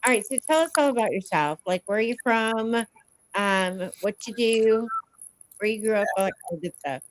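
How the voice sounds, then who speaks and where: A woman's voice: on the high side, animated, normal speed, rough recording. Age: adult. Sex: woman. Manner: laughs easily. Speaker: podcast host. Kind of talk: casual chat.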